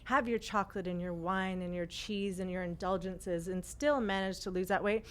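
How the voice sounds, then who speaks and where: A woman's voice: mid-range voice, steady tone, normal speed, smooth. A woman hosting a talk radio show, chatty and encouraging, laughing often with her guest. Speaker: radio host.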